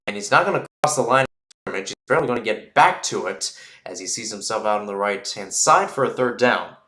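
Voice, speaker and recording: A man's voice: on the low side, animated, fairly quick, bright, forceful tone, roomy sound. A man giving live play-by-play football commentary in an informal way, thinking aloud and correcting himself as he goes.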